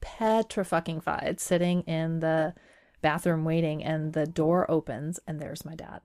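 A woman's voice: sits low, fairly even intonation, a little slow, a little mellow. A woman in her forties, from Iran, telling her life story as a podcast guest with wry humor and frequent laughs. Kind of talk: casual interview conversation.

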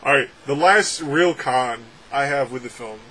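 A young adult man reviewing a film from a room at home, talking sarcastically with animated, exaggerated outbursts. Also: mid-range voice, unhurried, slightly rough, low-quality recording. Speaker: movie reviewer. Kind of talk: casual ranting film review. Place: home room with packed shelves, indoors. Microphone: webcam framing at desk.

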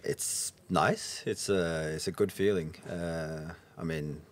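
Very deep-voiced young man. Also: fairly even intonation, takes it slowly. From Norway. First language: Norwegian. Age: 20s. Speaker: podcast guest, professional footballer. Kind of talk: casual podcast conversation. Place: indoor lounge booth. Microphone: podcast microphone on boom arm.

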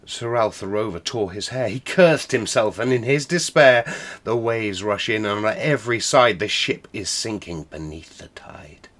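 A man storyteller reciting a narrative ballad in a theatrical manner, swelling from hushed to booming. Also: expressive, normal speed.

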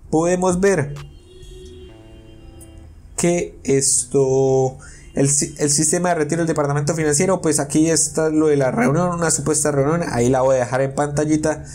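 A man with a somewhat raised pitch talks over a screen recording, earnest and indignant as he warns viewers. His tone is mellow and relaxed. Quiet music runs under his voice-over. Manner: indignant, earnest, explains slowly while reading messages aloud. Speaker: YouTuber warning about an investment scam. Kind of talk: casual screen-recording commentary.

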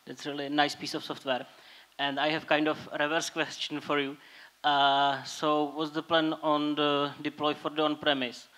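A man's voice: a little high, flat delivery, normal speed, sharp tone, low-quality recording. A man in a conference audience asking an informal question. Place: audience in a large brick-walled conference hall.

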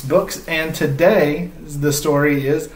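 A man's voice: on the high side, fairly even intonation, slow. A man in his thirties hosting a children's read-aloud with friendly, casual talk, recorded indoors. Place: small living room, indoors. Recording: roomy indoor echo.

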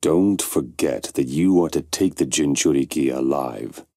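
An ominous male ninja commander in an anime game. His voice is extremely low and full of gravel, with terse authority and a dark tone. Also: a little slow, dry recording, studio quality, exaggerated voice. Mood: stern. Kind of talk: anime video game voice acting.

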